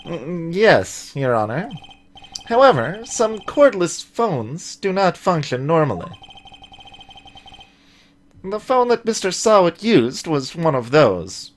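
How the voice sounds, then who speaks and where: A woman voicing a composed, formal courtroom lawyer in a video game, speaking in a measured manner. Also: on the low side, sing-song.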